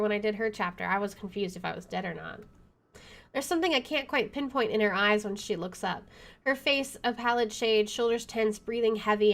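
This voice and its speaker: A woman doing let's play commentary, reading game text aloud in an expressive, dramatic way. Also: mid-range voice. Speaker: video game streamer. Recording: background noise and game ambience.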